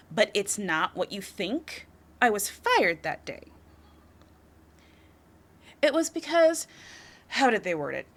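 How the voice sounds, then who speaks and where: Slow, teasing, flirty female crime suspect acted by a woman. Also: fairly high pitch, heavily acted. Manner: teasing, confident, coy, drawn-out delivery. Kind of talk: flirtatious audio roleplay acting.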